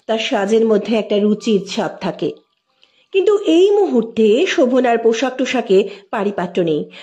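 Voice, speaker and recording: A woman's voice: medium pitch, dark tone. A woman reading literary fiction aloud as a scripted, dramatic audio story narration.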